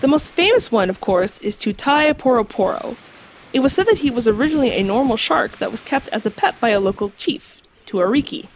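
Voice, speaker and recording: Woman playing an earnest, high-voiced creature expert. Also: sing-song, a little hiss or hum. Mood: solemn storytelling. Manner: explanatory, measured, slightly formal. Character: earnest, scholarly female guide to mythical creatures. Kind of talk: audio drama acting, storytelling. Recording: music under the voice.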